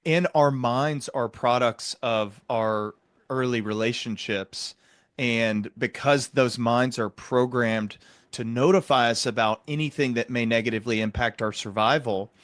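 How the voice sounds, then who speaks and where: A man hosting a reflective interview, explaining things in a measured, reassuring way. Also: mid-range voice, fairly bright tone, no echo. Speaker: interview host and psychiatric clinician.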